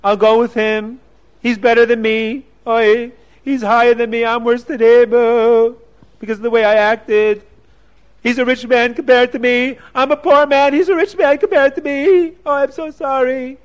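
A man putting on a shrill wailing rich man. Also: steady tone, normal speed, clean tone, dull audio. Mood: sobbing remorse. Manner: wailing, pleading, sobbing. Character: rich proud man, now a desperate wailing prisoner.

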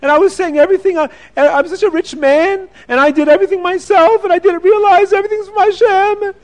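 A man voicing a proud rich man turned desperate prisoner, wailing and pleading in a high, loud voice. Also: little pitch movement, normal speed, smooth, natural voice. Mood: wailing remorse.

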